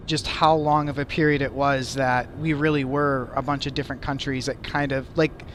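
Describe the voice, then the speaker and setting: A man's voice: mid-range voice, flat delivery, moderate pace, fairly bright tone, slight background noise. A young American man hosting a casual podcast chat, curious and thinking aloud with lots of "like". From United States. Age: young adult. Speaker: host.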